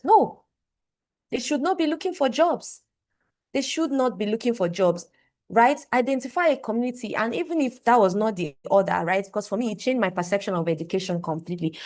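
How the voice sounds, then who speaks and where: Young woman speaker on a call, emphatic, repetitive, checks in with the audience. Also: medium pitch. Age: young adult. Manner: emphatic, repeats phrases, asks the audience "right?". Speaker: conference speaker. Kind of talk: motivational talk on a livestream. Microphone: video call. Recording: online call audio.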